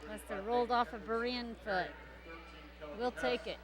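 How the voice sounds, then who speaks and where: A woman doing casual play-by-play commentary at a high school basketball game, chatty and quick to get excited over plays. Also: low-quality recording.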